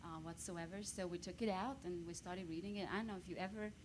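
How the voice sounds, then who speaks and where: A woman from Romania whose first language is Hungarian, speaking English with a Hungarian accent as a guest speaker telling her life story in a lively way. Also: lowish voice, fast talker, slight background noise. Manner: lively storytelling, bursts of emphasis. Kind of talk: personal testimony on stage.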